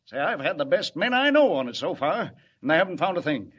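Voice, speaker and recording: An actor playing an anxious, gruff, exasperated city commissioner in 1940s radio drama style. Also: male voice, a little high, big pitch swings, a little fast, fairly bright tone, full character voice. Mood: desperate. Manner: emphatic, exasperated, repeats himself. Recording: dull vintage radio recording.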